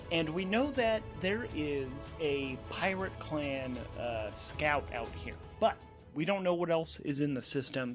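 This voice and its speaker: Guy narrating his solo tabletop rpg, voice a bit on the high side, some music behind him. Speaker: host, narrator and game master. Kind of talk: solo tabletop game narration, thinking aloud. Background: background music.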